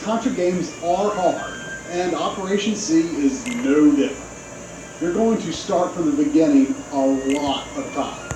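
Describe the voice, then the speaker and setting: A man narrating a scripted video game review in a conversational, opinionated way, with casual asides. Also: fairly high pitch. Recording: roomy, played back with game music behind.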